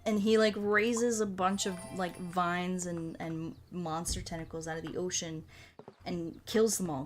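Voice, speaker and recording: Rambling woman streamer chatting over music. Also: lowish voice. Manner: rambling, thinking aloud, informal. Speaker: streamer and host. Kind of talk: casual livestream chat while playing a game. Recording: music playing behind the voice.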